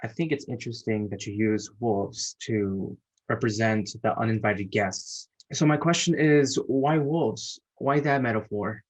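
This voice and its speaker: A man's voice: on the low side, measured pace, hardly any pauses, poor audio. A man moderating an interview, asking questions and responding conversationally.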